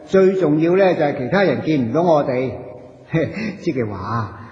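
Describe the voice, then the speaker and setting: An actor with a Hong Kong Cantonese accent playing a cheeky, chatty, poor wandering soul in a radio drama, colloquial, playful and coaxing. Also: male voice, a little high, lively intonation, moderate pace, a little mellow, lightly acted. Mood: mischievous, playful. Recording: dull echoey audio with long reverb.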